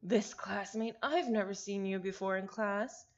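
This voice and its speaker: A woman's voice: medium pitch, a little slow, muffled recording. A woman reading a visual novel aloud in an informal way, breaking off for casual asides and apologies. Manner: informal, self-interrupting, apologetic about name pronunciation. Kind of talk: casual read-aloud of a game script with ad-libbed asides.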